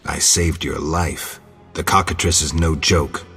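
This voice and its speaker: A grim mutant monster hunter from a fantasy video game, speaking extremely low and deadpan. He is sardonic and sparing with words, with faint music underneath. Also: a little slow, husky, full character voice. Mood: sardonic, confident. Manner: dry, deadpan, laconic.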